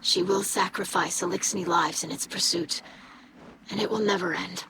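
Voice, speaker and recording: A woman's voice: on the low side, fairly even intonation, moderate pace, close to an everyday voice. An actress playing an earnest young female alien scholar in a video game, speaking in a formal, precise way. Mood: solemn. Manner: formal, precise wording without contractions. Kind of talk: video game dialogue acting. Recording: clearly noisy background.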